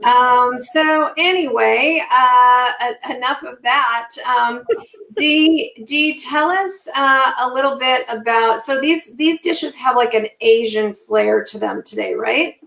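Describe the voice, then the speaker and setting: Woman, hoarse, gravelly, slow, halting with fillers, echoey room. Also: high voice, sharp tone. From Southern California. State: losing her voice, clearing her throat. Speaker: co-host of a live cooking show. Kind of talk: live-streamed casual chat.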